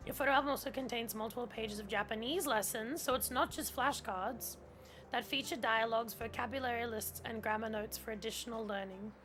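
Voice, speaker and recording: A woman's voice: a little high, steady tone, fairly quick, a bit bright, soft background music. A woman whose first language is German, chatting casually in a gameplay stream and reading on-screen text aloud, with lots of "like". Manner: chatty, heavy use of "like", reads on-screen tutorial text aloud.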